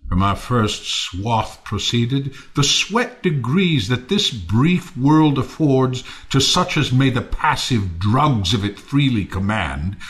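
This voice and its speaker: A man's voice: medium pitch, a bit bright. An actor playing a bitter, ruined Athenian nobleman turned misanthrope in a Shakespearean audio play, theatrical and scornful.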